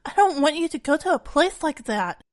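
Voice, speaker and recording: A woman playing a meek, anxious, soft-spoken high school girl in a fan audio drama, earnest and timid. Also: lively intonation, moderate pace, no room sound. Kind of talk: fan audio drama, anime game adaptation.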